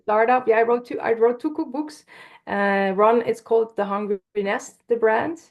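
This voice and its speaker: A woman whose first language is Dutch, a podcast guest talking casually about her work, friendly and quick to laugh. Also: fairly high pitch, muffled recording. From Netherlands. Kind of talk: casual interview talk.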